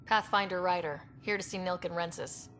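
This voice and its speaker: An actress playing an earnest, determined young space explorer in a video game, speaking directly and sympathetically. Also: female voice, fairly low pitch, steady tone, fairly quick, fairly bright tone, soft background music, lightly acted. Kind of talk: video game cutscene acting.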